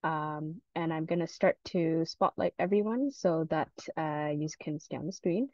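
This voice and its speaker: A woman's voice: deep voice, moderate pace, darkish tone, no echo. A woman facilitating an online student panel, speaking informally and politely with a self-deprecating manner. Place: online video meeting. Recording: dull, muffled online call audio.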